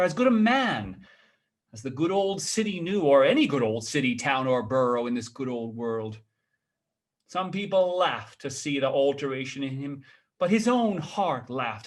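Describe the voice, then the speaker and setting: A male storyteller narrates in a theatrical, expressive style. His voice sits fairly high for a man and swings widely in pitch as he dramatizes the tale. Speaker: storyteller reading aloud.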